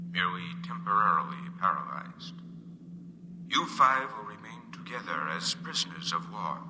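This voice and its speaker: A cold alien captor from a vintage radio play. The voice is low and formal, with measured, stilted phrasing. It rings in a long hollow reverb under heavy noise. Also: male voice. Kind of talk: 1950s radio drama acting. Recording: noisy, echoing 1950s radio recording.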